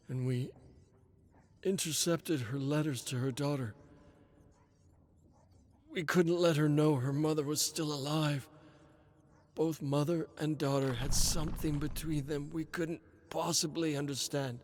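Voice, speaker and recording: An anguished man, acted out by a male narrator confesses in a dramatic audiobook. His voice sits high, breaking into halting stammers. He is drugged, frightened and weighed down by guilt. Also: steady tone, a little mellow, a little hiss or hum, close to an everyday voice. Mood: regretful. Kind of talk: dramatic audiobook character acting.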